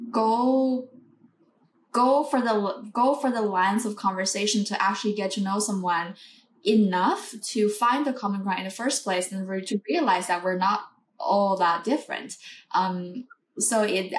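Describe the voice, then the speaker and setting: A woman from Beijing, hosting an interview in a measured, reflective way. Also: medium pitch. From Beijing, China. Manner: measured, reflective, slows to choose words. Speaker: podcast host. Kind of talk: interview conversation. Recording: echoey room.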